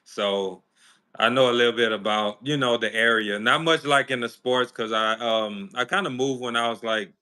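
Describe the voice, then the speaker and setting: Bright-voiced Louisiana-raised male host. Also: medium pitch, normal speed, clear, resonant tone. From small-town Louisiana. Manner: relaxed, laughs often, loose Southern phrasing. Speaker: interview host. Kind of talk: casual interview chat.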